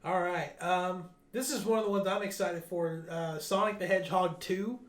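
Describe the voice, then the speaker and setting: A man's voice: sits high, fairly even intonation, normal speed, roomy sound. A man hosting a video game news podcast, running through the news in a casual, chatty way. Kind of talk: casual news rundown and chat.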